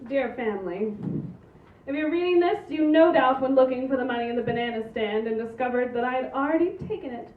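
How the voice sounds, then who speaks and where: Woman acting high-voiced, brazen scheming mother. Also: lively intonation, fairly quick. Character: brazen scheming mother reading her farewell letter. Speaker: actress in a script table read. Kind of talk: live comedy script read. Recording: distant, echoey, phone-like thin sound.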